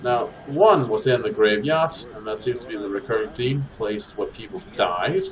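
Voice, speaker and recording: A man's voice: lowish voice, normal speed, a crowd in the background, rough recording. A man hosting a co-op let's play, commenting casually in wordy, rather formal phrasing. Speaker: host of a co-op gaming series. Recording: faint game noise behind voice. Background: game ambience.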